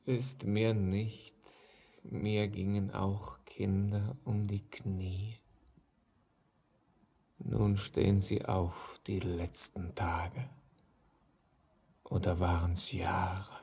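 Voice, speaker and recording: Eerie old ghost miner in a radio play, low husky voice, brooding, declaiming. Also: male voice, little pitch movement, warm tone, exaggerated voice. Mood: haunted, rising. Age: older. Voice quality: gravelly, husky. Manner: slow, declamatory, halting. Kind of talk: poetic German radio drama acting.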